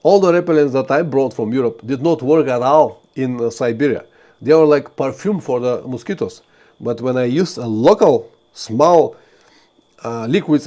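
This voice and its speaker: A man's voice: mid-range voice, warm tone. A man from Bulgaria, hosting a live stream and giving earnest motorcycle travel advice with frequent fillers and restarts. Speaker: motorcycle travel channel host. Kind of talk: live stream talk to viewers.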